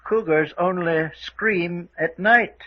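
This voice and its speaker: A man's voice: high-pitched, slow. A man playing a gloating, sinister radio-drama villain who speaks in an affected, chuckling way and stammers over repeated words. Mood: creepy, amused. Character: gloating, sinister villain giving menacing guided tour. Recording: dull lo-fi radio recording.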